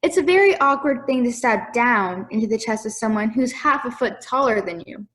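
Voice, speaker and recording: Woman acting doubtful female juror, high-pitched, careful, radio play. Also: animated, normal speed, a bit bright. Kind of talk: amateur audio drama acting.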